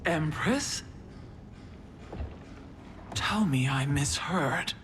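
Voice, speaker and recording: A woman playing a proud, loyal warrior queen in a video game, speaking in a stern, deliberate way. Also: sits low, lively intonation, a bit bright, a little hiss or hum, exaggerated voice. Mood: disbelieving, indignant. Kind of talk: dramatic video game acting. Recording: music behind the voice.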